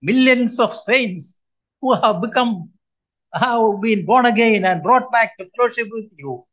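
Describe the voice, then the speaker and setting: A man in his seventies preaching a sermon to a congregation, earnest and emphatic, with many pauses. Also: very high-pitched, a bit bright, low-quality recording. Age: 70s.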